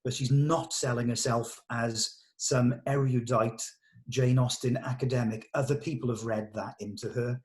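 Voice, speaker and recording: British bloke directing actors, mid-pitched voice, earnest and chatty, bit blunt and emphatic. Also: male voice, fairly even intonation, moderate pace, darkish tone. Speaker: director leading a table read. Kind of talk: informal character briefing to actors.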